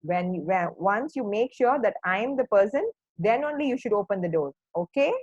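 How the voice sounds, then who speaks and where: A woman's voice: mid-range voice, lively intonation, normal speed, a little mellow, breathy edge, dry recording, dull audio. A woman voicing a caring, cautious mother sheep who earnestly admonishes her young. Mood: concerned.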